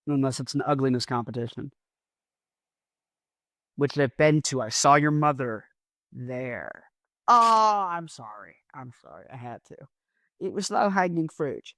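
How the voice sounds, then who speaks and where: A man's voice: medium pitch, animated, measured pace, pauses often, a bit bright, no room sound. A man hosting a podcast in a rambling, casual solo monologue. He mumbles his words and lets his S sounds drag out. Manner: rambling, self-deprecating. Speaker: podcast host.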